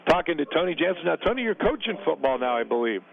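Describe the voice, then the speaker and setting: Play-by-play man, gravelly, slightly high voice, brisk calls, narrow radio sound. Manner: brisk play calls mixed with relaxed booth chat. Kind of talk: college football radio commentary. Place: stadium press box. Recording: narrow phone-like radio broadcast.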